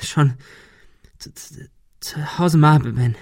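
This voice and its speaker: A man voicing a bitter, stubborn man who speaks haltingly and repeats his words, in an audiobook. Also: fairly high pitch, gravelly, a little mellow, no room sound, clean studio sound, pitched above the performer's own voice, full character voice. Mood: weary, bitter.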